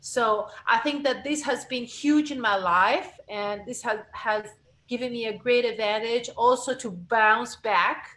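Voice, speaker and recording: A woman from Argentina, speaking as a guest voice coach in a lively interview, restarting phrases as she talks. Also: fairly high pitch, a little slow. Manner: animated, expressive, frequent self-corrections. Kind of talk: conversational interview.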